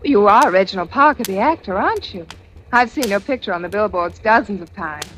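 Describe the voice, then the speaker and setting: A woman's voice: medium pitch, expressive, a little fast, a bit bright, lightly acted. An actress playing an eager, kind-hearted young woman in 1940s radio drama, chatty and tentative in her asides. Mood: eager, friendly. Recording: noisy old radio broadcast.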